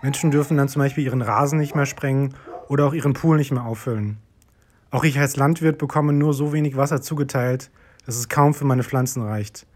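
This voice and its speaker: A man's voice: mid-range voice, steady tone, a little fast, darkish tone, natural voice. A man playing an earnest young farmer. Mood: plain. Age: 30s. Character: man of 34, farmer short of water.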